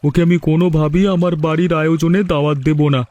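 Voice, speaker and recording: A man's voice: a little high, level tone, fast, darkish tone, dry recording, dull audio, close to an everyday voice. A man voicing a bossy, grudge-holding villager in a cartoon, blunt and self-important. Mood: resentful, stern. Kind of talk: cartoon dialogue. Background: faint music.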